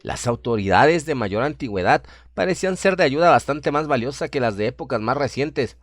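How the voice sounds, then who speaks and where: A man narrates a horror radio play with dramatic, literary phrasing. His mid-pitched voice moves widely in intonation, and the recording is close and dry. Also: animated, brisk, no echo.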